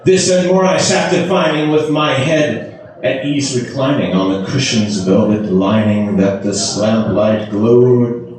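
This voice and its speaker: A man giving a theatrical, drawn-out dramatic reading of gothic verse, with emphatic pauses. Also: mid-range voice, a crowd in the background. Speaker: amateur poetry reader. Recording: echoey room, distant from the mic.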